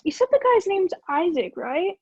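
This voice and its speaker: A woman's voice: extremely high pitch, big pitch swings, moderate pace, a little mellow, exaggerated voice. A young woman acting in a play as a blunt young questioner who presses for answers. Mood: suspicious. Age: young adult. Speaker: student actor in a school play. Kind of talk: one-act play acting. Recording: tinny phone-like line.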